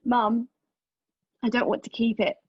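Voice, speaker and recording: A woman playing a bitter, sardonic pregnant woman in a dramatic monologue, with an intense, deliberate delivery and a husky voice. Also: a little high, flat delivery, dry recording. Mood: pained, reflective. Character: anguished, bitter, sardonic pregnant woman telling her story. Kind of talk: dramatic monologue at a table read. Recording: slightly dull, lo-fi sound.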